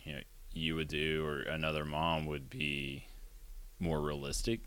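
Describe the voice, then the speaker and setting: A man with an extremely deep, bright voice hosts a relaxed podcast conversation. He talks in a chatty, rambling way, restarting his sentences. The sound is dry and close. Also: a little slow, smooth, no echo. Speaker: podcast host. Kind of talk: casual chat.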